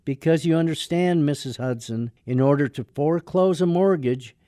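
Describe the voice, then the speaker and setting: An actor playing a brusque, sardonic attorney with a soft heart in a radio drama. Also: male voice, a little high, moderate pace, no echo, studio quality, natural voice. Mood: earnest, persuasive.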